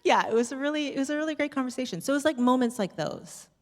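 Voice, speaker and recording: A woman's voice: high voice, lively intonation, racing. A woman telling candid stories on a panel in an informal, conversational and enthusiastic way. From Canada. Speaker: series host on a Q&A panel. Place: theatre stage at a live screening Q&A. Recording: reverberant hall, stage microphone.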